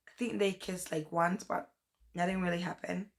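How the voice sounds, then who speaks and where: A young woman whose voice is hoarse from a cold, chatting casually and rambling a little as she reviews books in a vlog. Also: fairly low pitch, fairly even intonation, normal speed. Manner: chatty, rambling, says "like" and "um" often. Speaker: book vlogger.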